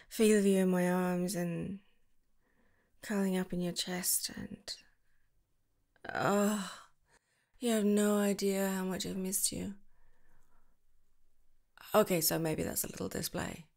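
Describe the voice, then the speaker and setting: Woman for an ASMR girlfriend roleplay: affectionate, gushing, slips into whispers, slight vocal fry. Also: medium pitch, flat delivery, slow, pauses often, a little mellow.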